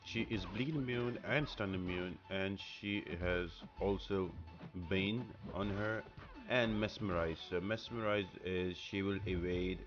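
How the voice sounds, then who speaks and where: This is a man with a low-pitched voice. He narrates a gameplay guide in a casual, instructional way. He explains tactics as the host of a walkthrough. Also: unhurried, muffled recording, music in the background.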